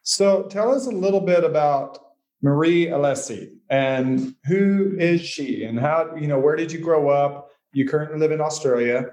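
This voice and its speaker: A man's voice: a little high, moderate pace, a little mellow. A man hosting an interview show, asking friendly questions that ramble and restart. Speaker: podcast host. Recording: slightly dull, lo-fi.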